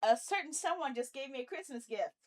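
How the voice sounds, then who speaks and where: A woman chatting casually on a live game stream, playful and animated, often muttering to herself. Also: high-pitched, a little fast.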